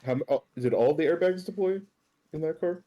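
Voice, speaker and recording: A man's voice: fairly high pitch, brisk, warm tone. A man playing a tabletop game, reacting emphatically in casual chat.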